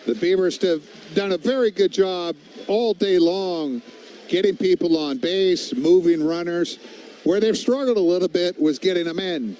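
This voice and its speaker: A man's voice: high voice, a little slow, warm tone. A man giving informal, opinionated color commentary on a baseball game in a conversational way. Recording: faint background noise.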